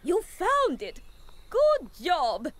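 An actress playing a kindly, encouraging female gazelle in a children's cartoon game, gentle in manner. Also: very high-pitched, wildly swooping pitch, very slow, a little hiss or hum, heavily acted.

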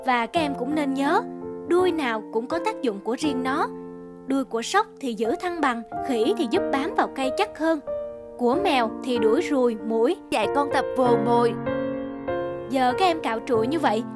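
A woman's voice: sits high, animated, normal speed, loud music behind the voice. A woman voicing a caring, scolding big-sister elephant in a children's cartoon, earnest and instructive. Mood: earnest lecturing.

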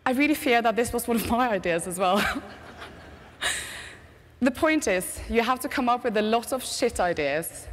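Norwegian woman, British-tinged accent, lively keynote speaker, headset mic, big reverberant hall. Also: a little high, normal speed, long pauses. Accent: Norwegian with British English mix. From Norway. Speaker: conference speaker, agency CEO. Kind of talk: keynote presentation. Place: large conference stage. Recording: long reverb of a large hall.

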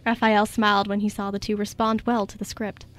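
A woman's voice: medium pitch, flat delivery, fairly quick. A woman reading scripted story narration as the narrator of a radio drama.

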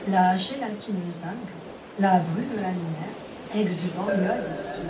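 A woman reciting epic poetry in a solemn, measured declamation, phrase by phrase. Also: mid-range voice. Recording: roomy, distant microphone, clear background noise.

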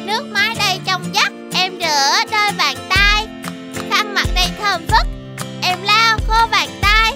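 A performer voicing a helpful, sensible young bear cub in a children's toy cartoon, childlike and instructive. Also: measured pace. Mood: sing-song teaching. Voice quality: childlike, high. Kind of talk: children's toy animation dialogue. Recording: music under the voice.

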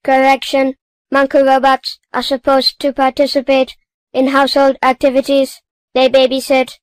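A woman's voice: high-pitched, monotone, moderate pace, dry recording, lightly acted. A woman voicing a small, literal-minded household robot with a formal, clipped, correcting manner in a children's cartoon. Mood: officious, flat.

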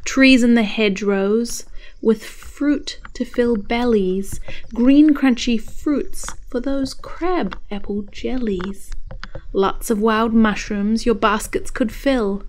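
Slow, soft-spoken woman narrating. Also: fairly high pitch, slight background noise, low-quality recording. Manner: soft, measured, poetic. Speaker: vlogger. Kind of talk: slow reflective voice-over narration.

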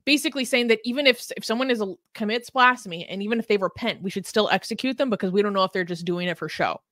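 A woman's voice: medium pitch, fast, a bit bright, no room sound. A woman in her twenties reading scripted news as a presenter, close on a desk microphone. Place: home room with bookshelves.

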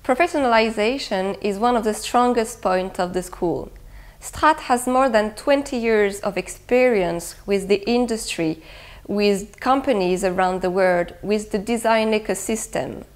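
A woman at a medium pitch. She presents a programme to camera in an informational way, recorded indoors on a lapel mic. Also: unhurried. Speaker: head of international affairs at a design school. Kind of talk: promotional interview to camera. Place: indoor room with bookshelves.

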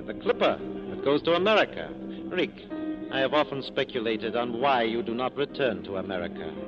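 An actor playing a wryly corrupt police captain with an urbane, teasing, courtly manner, in 1940s radio style. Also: male voice, medium pitch, lively intonation, brisk, over music, natural voice. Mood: suave, teasing. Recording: muffled 1940s radio broadcast recording.

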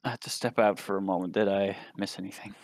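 A man's voice: low voice, fairly even intonation, fast talker, vocal fry, no room sound. A man chatting casually as a tabletop game player, joking and swearing. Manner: jokey, swearing.

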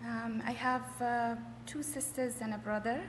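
Higher-voiced middle-aged woman, very echoey hall. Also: flat delivery, measured pace. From Afghanistan. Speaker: church member giving testimony. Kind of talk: personal testimony in short interpreted phrases. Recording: echoey hall with faint noise.

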